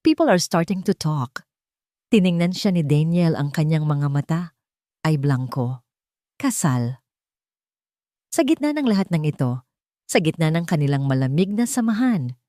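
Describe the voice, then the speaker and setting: A woman's voice: deep voice, lively intonation, moderate pace, pauses often, dark tone, dry recording, studio quality. A woman with a Filipino accent reading a scripted dramatic story as narrator.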